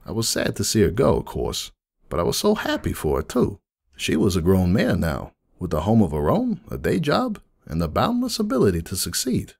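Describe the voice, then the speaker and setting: A man's voice: deep voice, wildly swooping pitch, moderate pace, vocal fry, a little mellow, heavily acted. A man voicing a friendly, nostalgic unicorn donut shop owner in a dramatic fan fiction reading, chatty and folksy like someone reminiscing. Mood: proud, nostalgic.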